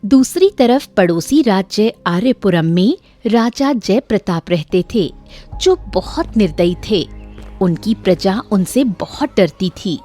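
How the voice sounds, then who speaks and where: A woman's voice: medium pitch, animated, takes it slowly, mellow, soft background music. A woman reading scripted narration for a moral tale as storyteller.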